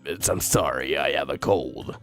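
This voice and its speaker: A man's voice: rumbling low, moderate pace, gravelly, fairly bright tone, soft background music, voice pushed down, full character voice. A man voicing a motherly goat woman in a story reading. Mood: apologetic.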